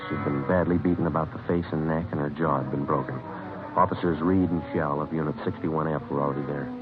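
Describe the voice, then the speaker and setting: An actor playing a terse, businesslike American police detective in a 1950s radio crime drama, clipped and deadpan. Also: male voice, low voice, lightly acted. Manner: clipped, deadpan, matter-of-fact. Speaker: police detective narrator and lead. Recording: muffled vintage radio recording with music.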